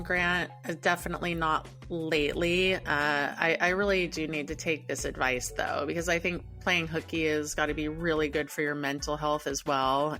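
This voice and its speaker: Woman podcast co-host, very low voice, relaxed and chatty, faint music behind. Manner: friendly, easygoing, hesitant fillers. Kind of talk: casual podcast chat.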